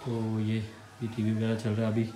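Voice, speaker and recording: A man, chatting casually to a phone camera held at arm's length as he vlogs on city streets and in a flat. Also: lowish voice, moderate pace. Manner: chatty, walking and talking to a handheld camera. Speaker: vlogger. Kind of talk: casual vlog chat. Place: city streets outdoors, then a flat indoors.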